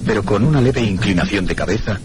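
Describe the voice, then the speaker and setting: An actor playing a reflective gentleman who narrates a mystery in a measured, literary radio drama style. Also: on the low side, lively intonation, over music, lightly acted. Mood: reflective, grave. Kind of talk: scripted first-person radio drama narration.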